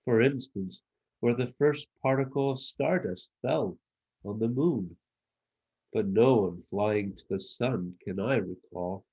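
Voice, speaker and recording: A man's voice: fairly low pitch, takes it slowly, dark tone, breathy edge, highs cut off, exaggerated voice. A man voicing a wistful, reflective moon elephant in a children's storybook. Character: huge, sad, wistful moon elephant with long memory.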